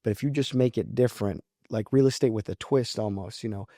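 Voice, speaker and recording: A man's voice: on the low side, brisk, warm tone, no room sound, studio quality. A man in his early twenties talking casually as an interview guest, polite and informal as he explains his approach. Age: early 20s. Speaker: podcast guest, real estate agent.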